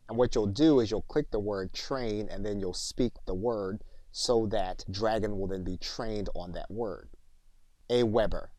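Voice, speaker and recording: A man with a fairly low voice narrates a training tutorial. He speaks as an instructor, methodically guiding the listener through each step. The sound is very dry, with no room around the voice. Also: a little mellow, dry recording, poor audio.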